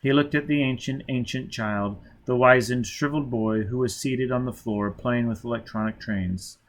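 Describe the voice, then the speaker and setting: Mid-pitched man narrating a novel. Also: steady tone, normal speed. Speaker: audiobook reader.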